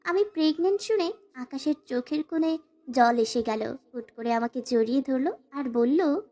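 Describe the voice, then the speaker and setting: A woman's voice: high-pitched, normal speed, warm tone. A woman voicing a timid, sorrowful young wife who narrates a dramatized romantic story and pleads submissively. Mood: sorrowful narration. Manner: formal, submissive address to her husband.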